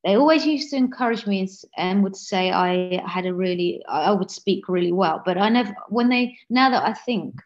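A woman's voice: mid-range voice, brisk, hardly any pauses. A woman, a guest author in a casual interview, talking earnestly and correcting herself often. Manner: earnest, many restarts and self-corrections. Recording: slightly dull lo-fi audio.

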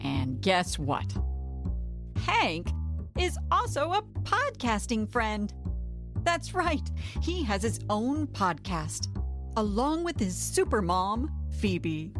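A woman's voice: medium pitch, wildly swooping pitch, slow pace. A woman hosting a children's podcast, reading a script in a chirpy way straight to young listeners. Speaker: podcast host. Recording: music behind the voice.

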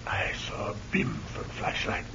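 An actor playing a loyal, watchful native guide who speaks clipped pidgin English in an old-time radio adventure. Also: male voice, measured pace, in a whisper, close to an everyday voice. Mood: hushed alarm. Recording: dull, noisy old radio recording.

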